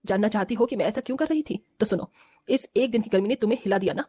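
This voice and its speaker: A woman's voice: medium pitch, quick pace, dark tone, husky, lightly acted. A woman playing a stern, righteous mother-in-law in a cartoon family drama, scolding and lecturing in a firm manner.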